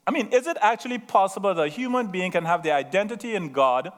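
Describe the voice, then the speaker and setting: A man's voice: high-pitched, expressive, fast talker. A middle-aged man with a Trinidadian accent, preaching a sermon in a chatty, self-mocking way and often checking in with the room. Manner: chatty, laughing at himself, asks the room "right?". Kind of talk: sermon with asides and stories.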